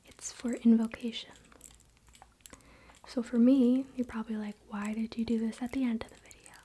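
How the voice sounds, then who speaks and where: A young woman whispering in a breathy, gentle, casual way as she hosts a spiritual ASMR ritual. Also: on the high side. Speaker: ASMR host. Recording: faint background noise, soft clicks.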